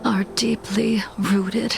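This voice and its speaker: Slow, gravelly, solemn swordswoman. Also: medium pitch, level tone, heavily acted. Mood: somber, resolute. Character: solemn, weary swordswoman haunted by past and revenge. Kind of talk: video game voice acting. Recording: background music under dialogue.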